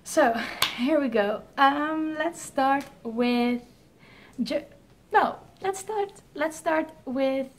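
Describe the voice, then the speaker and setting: A young Dutch woman with a fairly high voice talks casually to her camera. She is a bit shy and hesitant and laughs at her own mistakes. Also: very slow. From Netherlands, Amsterdam area. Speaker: vlogger and pilot.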